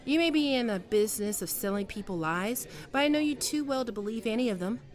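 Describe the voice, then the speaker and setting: A woman playing a flustered, wistful but proud writer facing a former lover in an intimate audio roleplay, halting and sighing as she speaks. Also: on the high side, animated, close to an everyday voice. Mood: indignant, defiant. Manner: hesitant, halting, with sighs and trailing off. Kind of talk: intimate ASMR roleplay acting.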